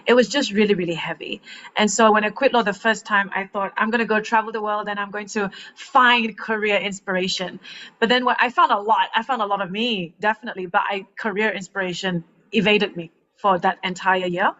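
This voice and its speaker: A woman in her thirties, from Malaysia, talking through her story as a podcast guest. She is animated and laughs often between phrases. The recording sounds a little muffled. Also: medium pitch, a little fast. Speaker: guest, transformational coach. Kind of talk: casual podcast conversation.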